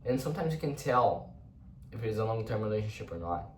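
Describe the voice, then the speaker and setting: A man's voice: lowish voice, animated, far from the mic, slight background noise. Reflective man talking in a roomy space. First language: Portuguese. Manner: reflective, rambling, halting restarts, casual swearing. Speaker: vlogger. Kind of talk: unscripted personal monologue. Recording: roomy echo, voice set back from the mic.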